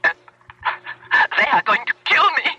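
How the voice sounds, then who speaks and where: An actress voicing a frightened ballerina, heard through a telephone filter in a radio drama. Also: female voice, on the high side, animated, measured pace, bright, forceful tone, slightly rough, dull audio, soft background music, exaggerated voice. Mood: terrified. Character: frightened ballerina phoning for help. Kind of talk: 1970s radio drama acting. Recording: noisy, thin telephone effect on old radio.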